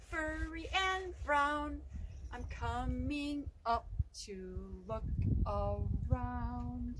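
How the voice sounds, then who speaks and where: A woman librarian leading a virtual story time for young children, reading aloud and guiding them through motions gently. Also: high voice, noisy background. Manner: gentle, slow, instructive with children.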